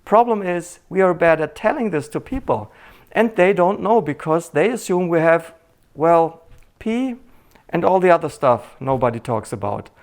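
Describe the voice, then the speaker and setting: A man's voice: on the high side, big pitch swings, moderate pace, a little mellow. A middle-aged man with a German accent, a urologist hosting his channel, giving a scripted introduction in an earnest, dryly humorous way.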